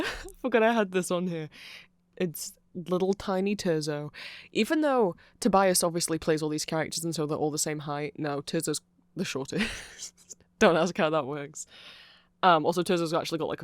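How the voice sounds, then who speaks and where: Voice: medium pitch, moderate pace, no room sound. A person giving a chatty, rambling ASMR-style fan explainer in a playful, informal way. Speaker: ASMR creator explaining band lore.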